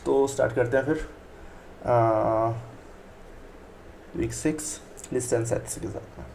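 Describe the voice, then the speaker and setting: Brief: male stream tutor, casual and chatty, rattling along at a very quick clip, mid-pitched. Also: creaky, a little mellow, slight background noise. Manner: chatty, keeps checking in with "okay". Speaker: Python tutor streaming live. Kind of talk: casual live-stream teaching.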